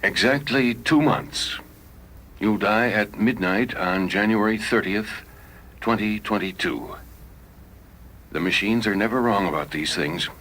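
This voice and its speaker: An actor playing a grave, blunt, matter-of-fact doctor in a science fiction radio drama. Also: male voice, fairly low pitch, a little slow, fairly bright tone, slight background noise. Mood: grave, clinical.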